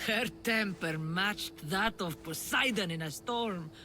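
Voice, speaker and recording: An actress playing an earnest older mother and refugee leader in a video game, measured and wistful. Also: medium pitch, natural voice. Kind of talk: video game cutscene acting.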